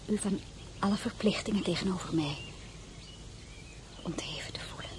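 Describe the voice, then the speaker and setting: An actress playing a dignified, wistful and wary crown princess in a radio drama, speaking formally and measured, often dropping to a breathy murmur. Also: female voice, mid-range voice, in a whisper, natural voice. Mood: grave, restrained. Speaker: lead actress and first-person narrator. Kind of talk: Dutch radio drama acting.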